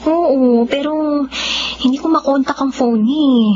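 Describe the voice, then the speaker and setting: A woman's voice: sits high, measured pace, warm tone. A woman playing a worried young sweetheart in a Filipino radio drama, confiding wistfully. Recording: dull, lo-fi audio with faint noise.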